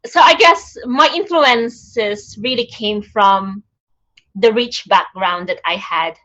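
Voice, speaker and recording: Soft-spoken woman on a video call. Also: medium pitch, slow, muffled recording. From Philippines. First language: Tagalog. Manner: soft-spoken, searching, with frequent ums. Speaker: guest poet. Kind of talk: interview answers.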